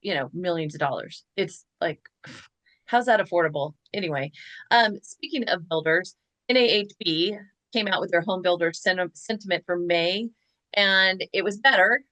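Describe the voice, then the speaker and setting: A woman's voice: lowish voice, dry recording. Chatty American woman host, dry sound. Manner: chatty and animated, quick asides and rhetorical questions. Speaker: podcast host. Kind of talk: casual chat.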